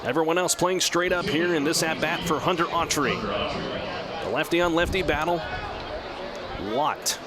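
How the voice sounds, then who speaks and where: A man working as a radio play-by-play announcer, giving live baseball commentary in a measured, descriptive way that lifts with the action. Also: fairly high pitch, over a noisy crowd. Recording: clear outdoor ballpark background noise. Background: outdoor stadium ambience.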